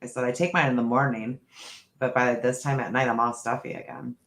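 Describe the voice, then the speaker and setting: A man's voice: mid-range voice, level tone, fairly quick, fairly bright tone. Reflective man in an echoey room. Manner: rambling, reflective, talks through ideas aloud. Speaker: podcast co-host. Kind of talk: casual chat.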